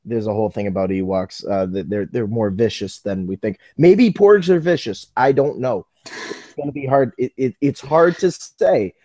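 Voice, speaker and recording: An American man co-hosting a casual live fan podcast, talking in an excitable, joking way. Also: medium pitch, normal speed, dry recording. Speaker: podcast co-host.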